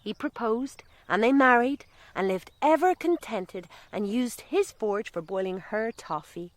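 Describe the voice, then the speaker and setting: Lively village mother, high voice swooping widely, theatrical storyteller, close dry sound, radio drama. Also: a little slow, no room sound. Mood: amused, dramatic. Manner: theatrical, relishing the tale.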